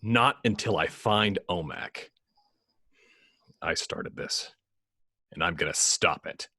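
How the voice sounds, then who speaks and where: A man playing a grim, commanding superhero vigilante in a script table read, speaking tersely and gruffly with a husky voice. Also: deep voice, slow pace, pushed and bright, no room sound, clean studio sound, exaggerated voice. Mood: grim, brooding.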